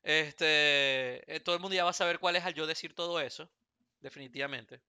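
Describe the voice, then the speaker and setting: Venezuelan man, 30s, podcast host; raspy-bright, clean and dry sound, rambling humor. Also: fairly high pitch, brisk, no echo. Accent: Venezuelan Spanish. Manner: joking, rambling with many fillers and restarts. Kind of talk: casual podcast chat. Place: Houston, United States.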